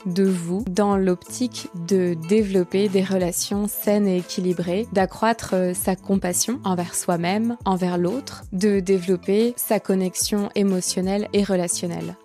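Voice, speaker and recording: A woman's voice: medium pitch, warm tone. A woman in her late thirties hosting an intimate conversational podcast. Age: late 30s. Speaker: podcast host.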